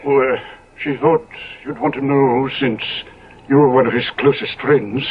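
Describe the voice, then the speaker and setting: An actor playing a devoted, anxious manservant in a 1970s radio drama, deferential in manner and hesitant when troubled. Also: male voice, medium pitch, fairly even intonation, moderate pace, slight background noise, natural voice. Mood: hesitant, sorrowful. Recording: muffled narrowband old radio recording.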